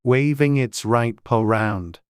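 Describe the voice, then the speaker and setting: Very deep, slow-paced woman narrator. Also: a little mellow, clear, resonant tone, no echo, studio quality. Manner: measured, unhurried. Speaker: audiobook narrator. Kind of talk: scripted storybook narration.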